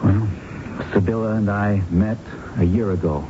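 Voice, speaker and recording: A man acts as a secretive, lovelorn figure in an old radio play. His voice is low and measured as he confides. The broadcast sounds muffled, with clear noise and rumble. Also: steady tone, natural voice. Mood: earnest. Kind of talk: 1970s radio drama acting.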